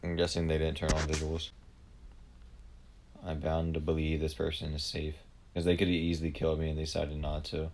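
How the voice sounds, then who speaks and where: A man's voice: a bass voice, completely flat delivery, a little mellow. A man giving live gameplay commentary, blunt and profane, ranting at the other players. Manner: profane, blunt, quick to rant. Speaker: gamer streamer.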